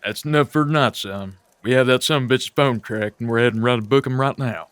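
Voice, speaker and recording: A man's voice: mid-range voice, fairly quick, lightly acted. Man playing a stern police chief, slightly noisy. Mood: gruffly triumphant. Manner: curt, authoritative. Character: stern, businesslike male small-town police chief. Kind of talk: radio drama acting.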